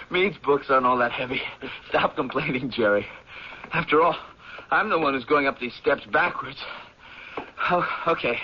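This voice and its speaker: A young academic in a seventies radio play, teasing and idealistic. His voice sits at a middle pitch. The recording is dull and muffled, like an old broadcast. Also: measured pace, pauses often, husky. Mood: good-humored, straining. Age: young adult. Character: good-humored young male anthropology professor, teasing, idealistic. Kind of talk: 1970s radio drama acting.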